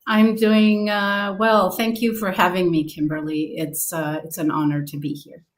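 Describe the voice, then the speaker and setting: A woman guest answering interview questions, halting, with many fillers. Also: lowish voice, flat delivery, slight background noise. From grew up abroad in several countries.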